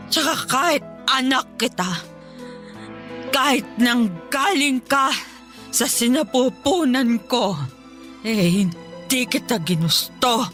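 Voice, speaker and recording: Bitter invalid mother played by an actress, slow reproachful delivery, radio drama, music underneath. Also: high voice, sharp tone, exaggerated voice. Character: bitter, resentful partly paralysed mother, cruel to her child. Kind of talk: Tagalog radio drama acting. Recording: music bed behind the voice.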